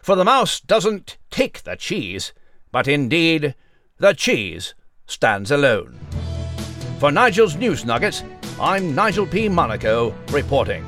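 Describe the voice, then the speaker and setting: Pompous little mouse newsreader, high-pitched. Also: male voice, big pitch swings, slow pace, fairly bright tone, faint music behind, heavily acted. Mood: pompous, earnest. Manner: formal, pompous, wordy announcing. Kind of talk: mock news report in children's audio drama.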